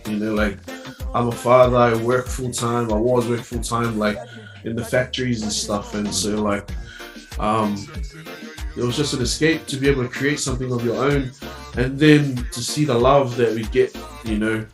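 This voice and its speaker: A man's voice: mid-range voice, fairly even intonation, normal speed, soft background music. Laid-back laughing man, roomy distant mic. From New Zealand. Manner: laid-back, laughs often, many fillers. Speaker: DJ and music producer. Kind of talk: casual interview chat.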